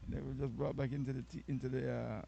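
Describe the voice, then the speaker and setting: A man calls a youth soccer game with a gravelly, mellow voice. He chats and laughs with his co-commentator as the play unfolds. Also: mid-range voice, flat delivery, fast, dull audio, a little hiss or hum. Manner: chatty, laughs easily, trades banter with co-commentator. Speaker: sports commentator.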